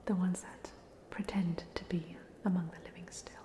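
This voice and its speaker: A woman's voice: fairly low pitch, little pitch movement, normal speed, close to an everyday voice. A woman playing a polite, chatty ghost of a drowned student in a whispered, hesitant ASMR roleplay. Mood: amiable, chatty. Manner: whispered, hesitant, gently rambling.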